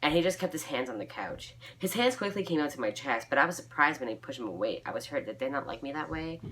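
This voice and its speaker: A woman's voice: rumbling low, animated, quick pace, fairly bright tone. A young woman reading her fan fiction aloud informally, breaking off for giggly, self-mocking asides.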